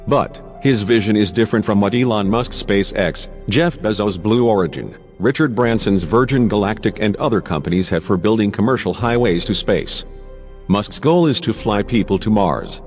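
A man's voice: fairly low pitch, moderate pace. A man reading scripted news narration as a narrator. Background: music.

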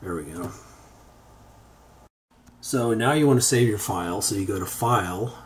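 A man giving an unscripted how-to software demonstration, thinking aloud in a halting way as he works. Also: on the low side. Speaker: tutorial presenter. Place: indoors, beside a computer. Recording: homemade recording with background noise.

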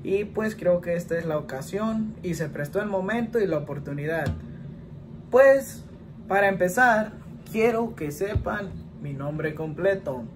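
A man's voice: high voice, lively intonation, a little slow, noisy background. A man in his twenties with a Mexican Spanish accent, chatting casually in a home vlog and answering questions in a rambling way, often tagging lines with "¿verdad?". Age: 20s. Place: small indoor room, plain wall.

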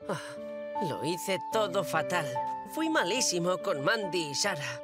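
A scheming, cocky little schoolboy from a cartoon. He is whiny and exclamatory, with very lively, unrushed delivery over loud music. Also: mid-range voice, a little slow. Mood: dismayed. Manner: exclamatory, self-important. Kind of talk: animated children's cartoon dub.

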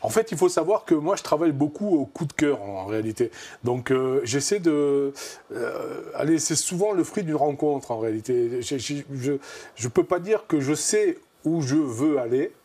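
A man's voice: on the high side, animated, moderate pace, mellow. A man in his fifties with a southwestern French accent, a guest in a TV studio interview, talking thoughtfully with lots of fillers. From southern France. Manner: thoughtful, gestures while talking, many fillers. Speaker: comic artist guest. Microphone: lapel.

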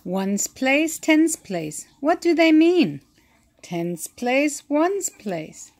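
Slow, patient woman reading to kids. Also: sits high, big pitch swings, a little mellow. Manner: patient, counting aloud and asking the listener questions. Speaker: read-aloud teacher. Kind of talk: slow read-aloud of a children's math book.

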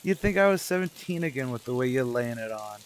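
An actor playing an anxious American man in his thirties in an old-time radio thriller, with measured, dramatic delivery. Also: mid-range voice, lively intonation, close to an everyday voice. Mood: affectionate, reassuring. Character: anxious 36-year-old American man telling his eerie road story. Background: music.

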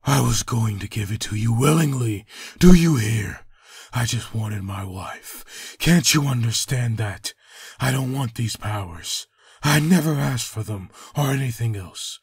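A man playing an anguished, vengeful undead antihero in a comic dub, gravelly and husky, bitter and dramatic. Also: medium pitch, lively intonation, unhurried, no room sound, clean studio sound, full character voice. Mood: raging.

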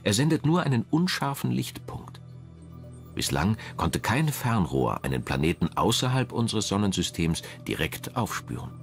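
A man reading scripted documentary narration in a measured, dramatic manner. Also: lowish voice, very animated, gravelly. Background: music.

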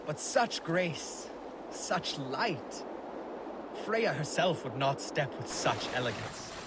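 An actor playing a proud young Viking warrior, speaking in a measured, wistful and poetic manner in a video game. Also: male voice, a little high, big pitch swings. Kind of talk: dramatic monologue in a video game. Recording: loud water and boat noise. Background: rushing water, boat ambience.

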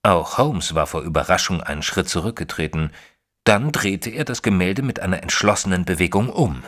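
Thoughtful gentleman sidekick narrating a radio play, careful delivery, lively melody, low pitched, echoey hall. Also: normal speed. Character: observant, thoughtful gentleman, detective's companion and narrator. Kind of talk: scripted first-person audio drama narration.

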